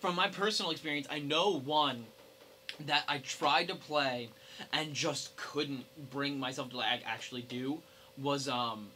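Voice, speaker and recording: A young man co-hosting a casual gaming chat with friends, talking in a halting way with many restarts. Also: medium pitch, pushed and bright. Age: young adult. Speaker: podcast co-host. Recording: noisy hobby podcast recording.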